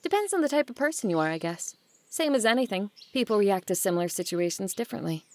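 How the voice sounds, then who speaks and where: A woman's voice: medium pitch, animated, quick pace, lightly acted. A woman playing a guarded, blunt adult daughter of a wealthy family in an audio drama, with a dry, curt way of talking. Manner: dry, curt, bossy with siblings.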